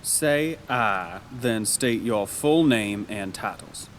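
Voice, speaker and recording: A man's voice: fairly low pitch, expressive, takes it slowly, fairly bright tone, long reverb tail, a little hiss or hum. A man playing a stern, exasperated retainer in an audio drama, dry and terse as he gives instructions.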